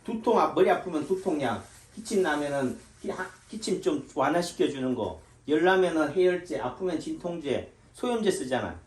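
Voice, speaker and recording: Rhetorical, higher-voiced man in an echoey room. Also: expressive, normal speed, few pauses. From Gyeongsang region of Korea. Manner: rhetorical questions answered himself. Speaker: Korean medicine doctor. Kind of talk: informal health lecture.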